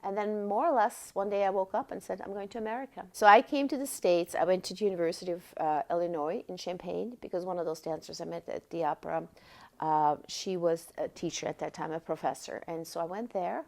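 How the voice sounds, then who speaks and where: Casting: woman, 60s, Swiss accent, slightly low pitch, informal interviewee reminiscing in a halting, think-aloud way. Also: fairly even intonation, darkish tone. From Switzerland. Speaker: former dancer and choreographer being interviewed. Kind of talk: oral history interview, personal recollection.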